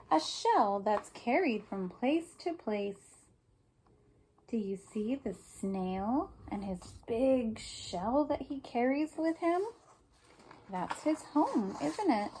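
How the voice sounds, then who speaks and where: A woman's voice: on the high side, darkish tone, slight background noise, rough recording. A woman teacher reading a picture book aloud to young children, explaining simply and prompting them with questions.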